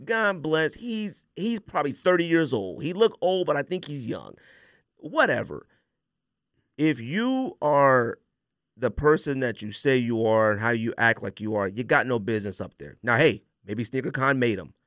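A man's voice: medium pitch, expressive, normal speed, fairly bright tone, dry recording, studio quality. A man hosting a casual podcast, giving a blunt, emphatic, opinionated rant peppered with rhetorical questions. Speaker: podcast host.

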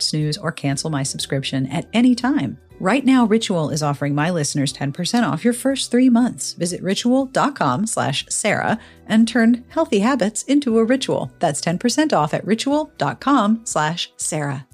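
A woman hosting a podcast, reading scripted narration. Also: lowish voice. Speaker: podcast host. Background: background music.

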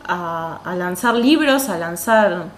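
A woman's voice: on the low side, expressive, slow. A woman with an Argentine accent chatting casually as a podcast co-host. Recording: roomy echo.

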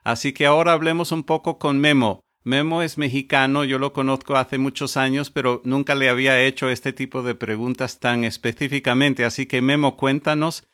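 A man hosting a podcast panel, speaking in a measured, explanatory way. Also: mid-range voice, steady tone, a bit bright, no echo, studio quality. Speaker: podcast host.

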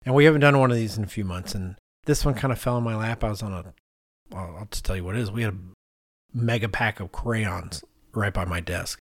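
A man's voice: lowish voice, a little fast, no echo, studio quality. A middle-aged man co-hosting a podcast, telling stories in a casual, conversational way and acting out what people said. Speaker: podcast co-host.

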